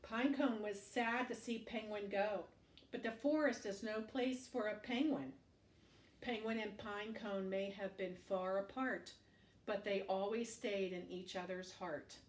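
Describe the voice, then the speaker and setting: A woman storytime teacher reading aloud to young children in an expressive way, adding asides and questions for the listener. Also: mid-range voice, a little slow. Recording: echoey room, distant microphone.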